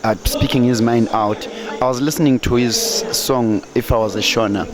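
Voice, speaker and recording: A man interviewing a guest for the news, with many pauses and fillers. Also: medium pitch, fairly even intonation. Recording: crowd noise behind.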